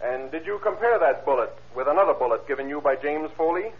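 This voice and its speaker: A man's voice: on the high side, expressive, close to an everyday voice. Methodical prosecutor played by a man, muffled old radio. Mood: formal, probing. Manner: crisp, formal, deliberate questioning. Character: formal, methodical prosecutor questioning witnesses in court. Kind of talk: 1930s radio acting.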